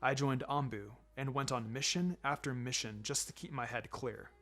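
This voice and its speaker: A man voicing a laid-back adult male ninja mentor in a fan fiction reading, wry and gently sombre, speaking in a measured, low-key way. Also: faint music behind, lightly acted. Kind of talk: fan fiction audiobook character acting.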